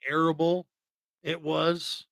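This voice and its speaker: Bright, higher-voiced man chatting about films. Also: flat delivery, takes it slowly, vocal fry, no room sound, clean studio sound. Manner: rambling, informal, opinionated. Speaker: podcast co-host. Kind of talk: casual film chat.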